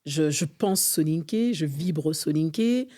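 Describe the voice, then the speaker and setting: A woman's voice: sits low, animated, slow, warm tone. A middle-aged woman from France, a guest in a conversational interview, speaking earnestly and fervently with frequent hesitations. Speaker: podcast guest, association vice-president.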